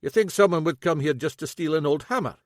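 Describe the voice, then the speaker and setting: A man's voice: fairly high pitch, quick pace, no room sound, voice pushed up, natural voice. A man voicing a shrewd, dry-witted Scottish police chief with a Scottish accent. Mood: wry. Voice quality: wry, commanding.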